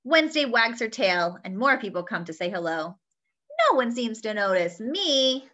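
Bright, animated young woman reading a storybook. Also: a little high, normal speed. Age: young adult. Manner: animated, sing-song storytelling with expressive character lines. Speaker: children's story reader. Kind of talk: read-aloud of a picture book with asides to young listeners. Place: small indoor room at home. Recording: dull lo-fi webcam sound.

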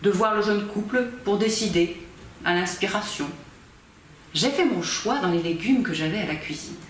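A woman in her fifties, a volunteer amateur reader, gives a theatrical reading of a novel with measured, expressive phrasing, recorded indoors in a small library corner by a camera at a distance. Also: mid-range voice. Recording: very echoey room, faint background noise.

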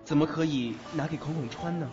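A man's voice: on the high side, fairly quick, natural voice. A young man playing a caring, playful, coaxing youth in an audio drama. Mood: tender, playful. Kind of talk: Chinese audio drama acting. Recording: dull lo-fi audio with music.

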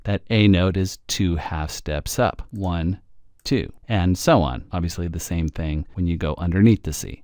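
A man giving an informal, wry screencast tutorial, thinking aloud as he demonstrates audio software. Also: low voice, dry recording, studio quality. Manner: informal, wry, self-deprecating. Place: indoor close-miked voice-over, dry.

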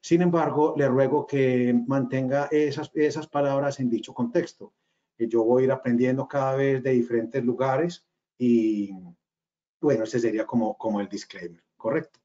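A man with a Colombian accent gives a webinar talk from a small room over his webcam. His voice is mellow and warm, his delivery hesitant and full of fillers. The audio is a little dull, like a typical video call. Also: medium pitch, steady tone, normal speed. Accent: Colombian regional. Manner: hesitant, many fillers and restarts. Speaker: webinar speaker, company cofounder. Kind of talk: webinar presentation.

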